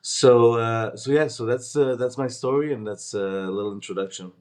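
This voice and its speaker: A man's voice: mid-range voice, normal speed, a little mellow. A man in his twenties, born in Peru and raised in Canada, talking casually as a startup founder being interviewed. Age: 20s.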